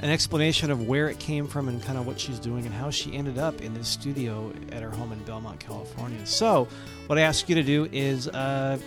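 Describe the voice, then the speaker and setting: A man hosting a podcast, giving a relaxed scripted sign-off and plugs. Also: mid-range voice. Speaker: podcast host. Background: guitar music.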